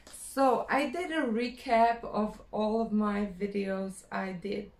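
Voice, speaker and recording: A woman from Croatia with a Croatian accent, speaking English as a second language in a personal vlog, pausing often and searching for words. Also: mid-range voice, steady tone, darkish tone, roomy sound. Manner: hesitant, many fillers, searching for words. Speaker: vlogger.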